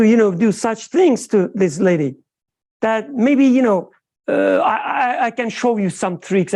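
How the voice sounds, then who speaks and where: Slow, mellow woman. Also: medium pitch. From Turkey. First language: Turkish. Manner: halting, searching for words. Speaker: study circle participant. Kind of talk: group discussion.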